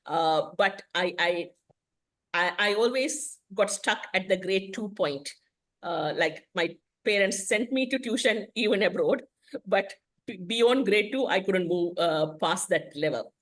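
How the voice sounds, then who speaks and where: A woman's voice: medium pitch, expressive, a little slow. A woman whose first language is Tamil, giving a frank, explanatory presentation on a video call as an English teacher. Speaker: guest speaker, English teacher.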